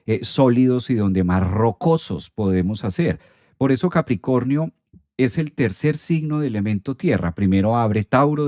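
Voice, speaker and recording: Low, dark-voiced man explaining astrology. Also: no echo. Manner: calm explanatory, with filler "eh". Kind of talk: live astrology talk.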